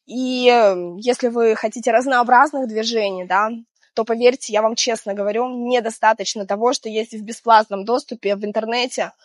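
A woman in her thirties with a slightly high voice, a little hoarse from being ill. She talks through a foam-roller routine in a chatty, gentle manner. The sound is close and dry, recorded on a clip-on mic outdoors. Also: fairly even intonation, normal speed, no room sound. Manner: chatty, gentle, laughs between cues. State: hoarse from illness. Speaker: fitness instructor. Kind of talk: guided exercise instruction. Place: outdoors on a seaside promenade.

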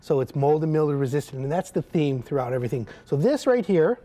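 A man's voice: big pitch swings, quick pace, dark tone. A middle-aged man, a home improvement expert, giving an upbeat product demonstration as a guest on a TV talk show, on a studio set with a lapel mic. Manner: upbeat, pitching and pointing at samples.

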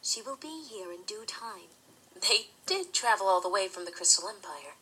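A woman's voice: medium pitch, sing-song, normal speed, bright, forceful tone, a little hiss or hum, low-quality recording. A woman acting a stern, regal pony princess in a cartoon audio drama. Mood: calm.

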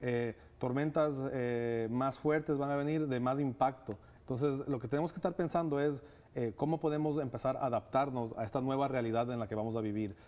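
A man in his thirties from Ecuador answers questions in a studio interview on a lapel mic. His voice is mid-pitched, with a dark, warm tone. He talks earnestly and fills his pauses with many hesitant sounds. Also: steady tone. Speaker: guest, nonprofit director. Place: TV studio set.

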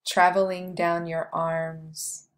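A woman leading a guided meditation, speaking slowly and softly with long pauses between phrases. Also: on the low side, level tone. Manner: slow, soft, soothing delivery with long pauses.

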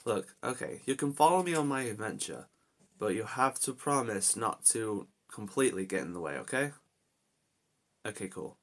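A man's voice: on the low side, fairly bright tone, heavily acted. A man voicing an anxious, protective young adventurer in amateur character lines, talking to himself with dramatic exclamations. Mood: soothing, concerned. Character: anxious, reluctant young adult male adventurer hero, protective.

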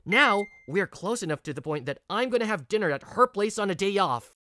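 A woman's voice: mid-range voice, brisk, dry recording, clean studio sound. A woman voicing an earnest, stressed young male office worker, narrating and acting in a manga video. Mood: reflective. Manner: earnest, expressive. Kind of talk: first-person narration and dialogue in manga video.

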